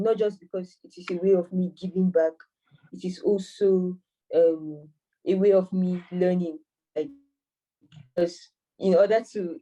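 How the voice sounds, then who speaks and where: A woman's voice: lowish voice, mellow, recorded from a distance, poor audio. A woman in her twenties from Cameroon, an AI mentor answering interview questions hesitantly with many fillers and restarts. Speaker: AI mentor and master's student.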